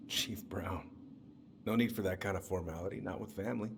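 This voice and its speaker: An actor playing a middle-aged small-town police chief and uncle in a video game, folksy, measured and paternal. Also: male voice, low-pitched, vocal fry, big-room sound. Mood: friendly. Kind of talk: naturalistic video game acting.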